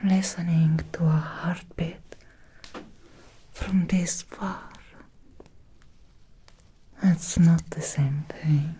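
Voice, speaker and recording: A woman playing an ancient, possessive female vampire in an ASMR roleplay, speaking in a breathy, seductive whisper with lingering, deliberate pauses. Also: low-pitched, fairly even intonation, warm tone, full character voice. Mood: curious, seductive.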